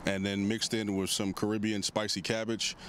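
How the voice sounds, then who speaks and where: A man's voice: on the low side, little pitch movement, normal speed, a bit bright, a little hiss or hum. A man from Virginia, a chef and former Marine, giving brief direct answers in a live outdoor TV interview. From Virginia, USA. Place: outdoor patio set.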